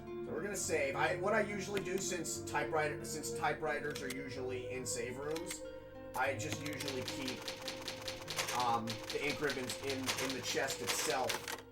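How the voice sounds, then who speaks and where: A man with a raspy, nasal New York accent, chatting casually as a game streamer while he plays. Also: medium pitch. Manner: rambling, chatty, full of fillers. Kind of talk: casual gameplay commentary.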